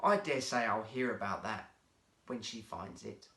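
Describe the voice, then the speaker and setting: A cheeky, boastful schoolboy from a storybook, acted out by a man. The voice is wilful and bratty, with lively swings in pitch and a roomy echo around it. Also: a little slow. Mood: sulky. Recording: roomy, echoey sound.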